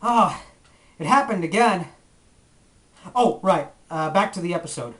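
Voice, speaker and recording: A man's voice: sits high, lively intonation, unhurried, fairly bright tone. A man hosting a retrospective review, giving scripted commentary with playful, theatrical comic asides. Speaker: retrospective video host.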